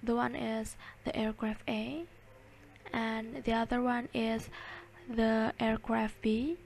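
A woman presents a lecture in a soft-edged, mellow voice that sits a little high. She reads and explains slowly, in halting phrases. There is some noise behind her. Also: little pitch movement, vocal fry. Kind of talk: slide lecture, reading and explaining.

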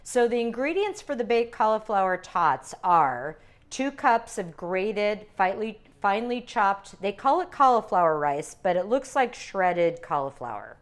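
An older woman calmly demonstrating recipes step by step as a cooking instructor in a teaching kitchen. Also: mid-range voice, measured pace. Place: home-style teaching kitchen.

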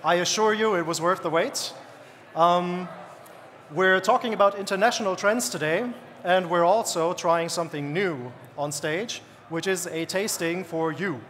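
A man's voice: high-pitched, a little slow, fairly bright tone, a little hiss or hum. A man moderating a panel on stage, coaxing speakers up with a friendly manner, speaking into a handheld mic. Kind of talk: event hosting. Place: stage in a large hall. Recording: hall reverb, crowd behind.

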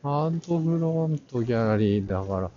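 A man's voice: medium pitch, expressive, normal speed, mellow, a little hiss or hum. A man narrating a casual walk-through, muttering short fragments half to himself through a face mask. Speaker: vlog host touring an art fair. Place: large crowded indoor exhibition hall. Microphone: handheld camera at arm's length. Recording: dull sound in a busy hall. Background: crowd murmur.

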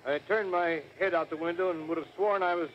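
Actor as a high-pitched rambling rural American farmer. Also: male voice, fairly even intonation, brisk, natural voice. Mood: folksy, rambling. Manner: rambling, folksy, hesitant. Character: folksy, drowsy, slow-witted farmer, rambling eyewitness. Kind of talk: 1930s radio acting. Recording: muffled, noisy 1930s radio recording.

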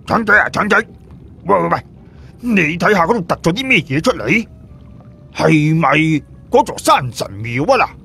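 Guy acting a chatty adventurer dude, excitable, highish voice, swoops around, audiobook sidekick. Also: normal speed, creaky, husky, soft background music, exaggerated voice. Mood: urgent.

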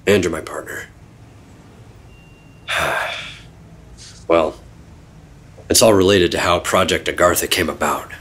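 An actor playing a secretive, world-weary 1950s government agent, terse and deadpan, narrating an old-time radio drama. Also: male voice, deep voice, slow, pauses often, heavily acted. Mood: weary, reflective. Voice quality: husky. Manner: terse, deadpan, hard-boiled.

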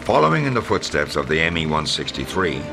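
An actor playing a wartime newsreel narrator, declaiming scripted history in an emphatic, dramatic style for a video game. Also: male voice, extremely low pitch, very animated, quick pace, vocal fry, over music, exaggerated voice.

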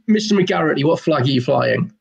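A man hosting a casual comedy chat over a video call, wry and quick with quips, recorded at home. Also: fairly high pitch, lively intonation, vocal fry, slight background noise. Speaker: host.

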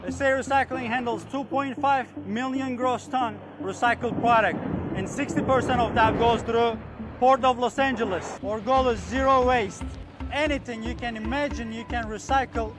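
A man's voice: very high-pitched, steady tone, moderate pace. A man from Turkey with a Turkish accent, speaking earnestly in slightly broken English as a recycling plant manager in a documentary interview outdoors at a port. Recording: music bed underneath.

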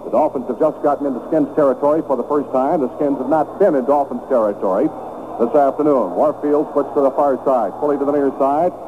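A man's voice: medium pitch, fairly quick. An American man calling a football game play by play for radio in clipped, descriptive phrases. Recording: narrow, noisy 1970s radio broadcast.

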